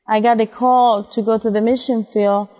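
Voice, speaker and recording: Lady, broken halting english, kinda high voice, echoey muffled sound. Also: little pitch movement. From El Salvador. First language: Spanish. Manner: halting, simple non-native English. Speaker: interview guest, missionary.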